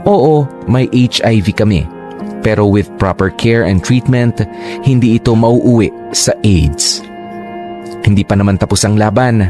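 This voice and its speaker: A man's voice: on the low side, unhurried, music in the background. Earnest man narrating a radio drama. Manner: reflective, earnest, mixing English phrases. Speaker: letter-writer narrating his story.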